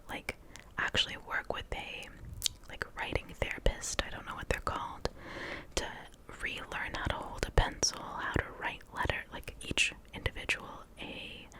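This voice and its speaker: A woman's voice: moderate pace, rough recording. Young woman whispering a rambling story. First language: Portuguese. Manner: rambling, self-mocking whisper. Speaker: ASMR creator.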